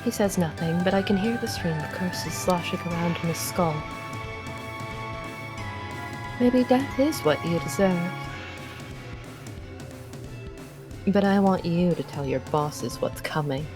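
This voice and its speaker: A woman's voice: lowish voice, normal speed, hardly any pauses, over music, lightly acted. A woman voicing an arrogant, sadistic would-be goddess in a visual novel, haughty and theatrical as she savors her words. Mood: menacing. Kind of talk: dramatic visual novel monologue.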